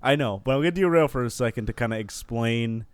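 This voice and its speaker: Male podcast member, average pitch, close dry sound, jokey and foul-mouthed. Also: quick pace, clean tone, dry recording. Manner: joking, heavy swearing. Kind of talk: casual podcast banter.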